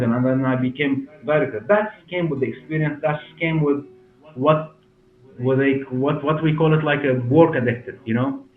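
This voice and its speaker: Man, forties, Syrian Arabic accent, halting non-native phrasing, interview answers, roomy sound, faint music behind. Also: medium pitch, narrow, tinny audio. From Damascus, Syria. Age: 40s. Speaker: hair clinic director and interview guest.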